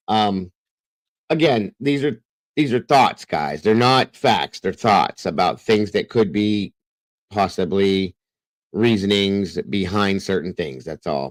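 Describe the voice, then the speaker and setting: A man's voice: low voice, no echo. A man hosting a true crime livestream, talking casually and rambling, breaking off now and then to address his viewers. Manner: rambling, conversational, breaks off to greet chatters.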